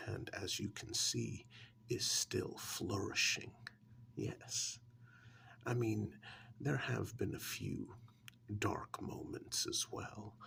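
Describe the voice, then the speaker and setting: A man playing a polite, guarded, elderly small-town museum curator in a soft, breathy, hesitant ASMR role-play. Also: lowish voice, exaggerated voice. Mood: polite, proud. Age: older. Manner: hesitant, deliberate, courteous.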